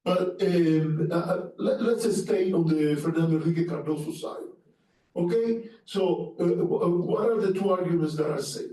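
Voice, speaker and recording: A man with a Cuban Spanish accent speaks earnestly to a seminar audience, often stumbling and restarting his sentences. His voice is slightly high and mellow. He sounds distant from the mic, in a very echoey room. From Cuba. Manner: earnest, halting with restarts and fillers. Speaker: panelist. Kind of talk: academic seminar talk.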